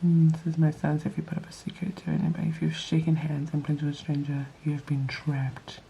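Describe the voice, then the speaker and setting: A young woman with a nasal, allergy-stuffed voice, reading scripture aloud and adding quick casual asides in a Bible study vlog. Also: sits low, completely flat delivery, dark tone, a little hiss or hum, low-quality recording. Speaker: vlogger leading a Bible study.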